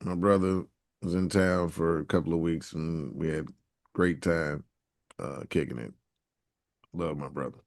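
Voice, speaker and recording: Laid back dude, real deep voice, dry close mic, short easy answers. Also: male voice, fairly even intonation, unhurried, pauses often, a little mellow, no echo. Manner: laid-back, brief replies. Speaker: podcast co-host. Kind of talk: casual podcast chat.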